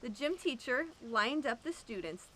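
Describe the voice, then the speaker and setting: A woman reading a children's picture book aloud, with expressive, drawn-out emphasis. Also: fairly high pitch, poor audio. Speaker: storyteller at an animal sanctuary.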